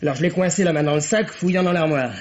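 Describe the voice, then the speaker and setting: An actor playing an eager, self-satisfied police subordinate in a 1950s radio mystery, reporting in a brisk, colloquial way. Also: male voice, fairly high pitch, close to an everyday voice. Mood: eager, pleased. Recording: dull vintage radio drama recording.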